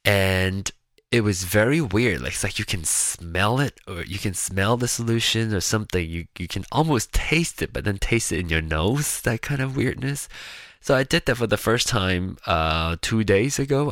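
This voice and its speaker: A man with a very low voice talks in a loose, rambling way, stopping and restarting his sentences. He sounds nasal and congested, and his voice is a little raspy and gravelly. The sound is dry and close, with no room echo. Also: animated, normal speed, a bit bright. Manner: rambling, chatty, with frequent restarts. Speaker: vlogger. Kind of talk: casual personal monologue to camera.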